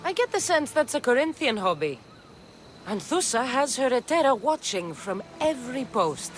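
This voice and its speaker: A woman's voice: sits high, sing-song, moderate pace, slight background noise. A woman playing a hardened exiled Spartan mercenary in a video game, with a blunt, firm delivery. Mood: wry, probing. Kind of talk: video game dramatic dialogue. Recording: music behind the voice.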